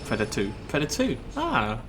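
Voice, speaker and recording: A man's voice: medium pitch, wildly swooping pitch, fairly quick, background noise. A man casually rambling while commenting on his own gameplay, chatty and self-deprecating. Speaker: let's play host.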